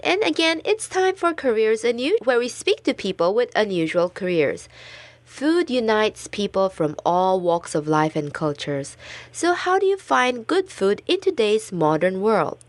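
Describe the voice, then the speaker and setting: A woman's voice: fairly high pitch, big pitch swings, moderate pace, dry recording. A woman hosting a radio interview in a studio, with a polished presenter delivery. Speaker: radio host.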